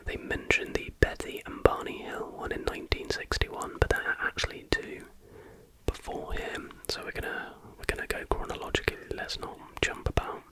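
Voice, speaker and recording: A man reading aloud in a soft, breathy, unvoiced whisper as an informal ASMR host. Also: poor audio.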